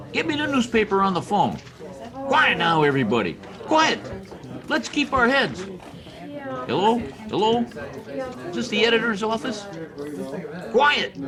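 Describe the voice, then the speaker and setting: Man acting excitable, high-pitched island official. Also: unhurried, pauses often, over music. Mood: frantic, commanding. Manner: barking orders, emphatic. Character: excitable, commanding male official of a corrupt island regime. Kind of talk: old-time radio comedy-drama acting.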